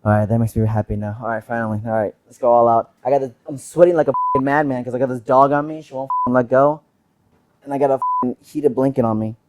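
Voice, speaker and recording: A man's voice: medium pitch, fast, very noisy. A man co-hosting a casual podcast, swearing freely and bantering in a joking way. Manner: profane, joking, animated banter. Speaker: podcast co-host. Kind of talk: casual chat.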